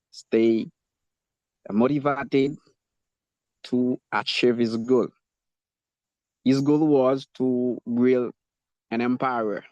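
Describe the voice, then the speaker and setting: Halting man, slow and echoey. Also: medium pitch, darkish tone. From Haiti. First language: Haitian Creole. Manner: halting, searching for words. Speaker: language learner taking the microphone. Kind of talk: impromptu talk in English practice. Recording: muffled, roomy online call audio.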